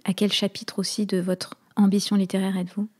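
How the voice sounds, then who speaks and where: A woman hosting a long interview, asking measured, detailed questions and laying out her guest's background before each question. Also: mid-range voice, level tone, breathy edge. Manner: measured, attentive, lays out questions at length. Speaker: interviewer, host.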